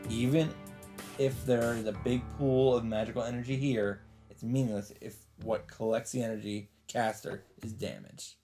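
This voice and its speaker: A man's voice: medium pitch, steady tone, moderate pace. A man reading a visual novel aloud as a let's play host, in dramatic scripted narration. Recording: loud game music behind voice. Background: visual novel soundtrack.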